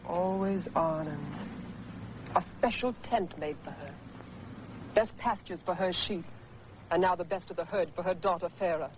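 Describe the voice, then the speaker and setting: A woman's voice: lowish voice, moderate pace, long pauses, slight background noise, natural voice, low-quality recording. An actress playing a jealous queen and mother in a 1950s epic film, speaking with cutting scorn. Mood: scornful.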